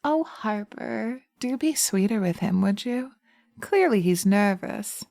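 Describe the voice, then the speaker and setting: A woman voicing a poised, nurturing, gently bossy witch in an audio roleplay, with a genteel, coaxing and chiding manner. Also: mid-range voice, lively intonation, takes it slowly, creaky, dry recording.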